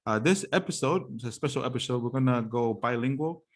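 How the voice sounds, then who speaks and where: A man, a native Tongan speaker, hosting a podcast in English in a relaxed way with frequent fillers. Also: mid-range voice, a little fast. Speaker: podcast host.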